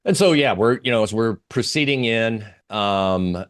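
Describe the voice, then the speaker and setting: A man giving unscripted analysis as an interview guest, talking through slides in a halting, rambling way with pauses and repeated phrases. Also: lowish voice, animated. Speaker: interview guest, geopolitical oil trading analyst.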